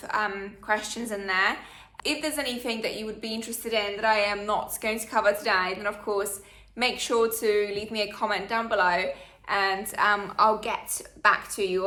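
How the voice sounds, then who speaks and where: A woman's voice: on the high side, sharp tone, reverberant. A woman, chatting through a Q&A for her channel with plenty of fillers. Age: 20s. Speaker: vlogger. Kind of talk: casual Q&A chat.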